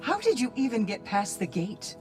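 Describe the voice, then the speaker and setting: An actress playing a brisk, frank warrior woman in a video game, with a direct manner. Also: a little high, big pitch swings. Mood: wary. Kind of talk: video game cutscene acting. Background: game music.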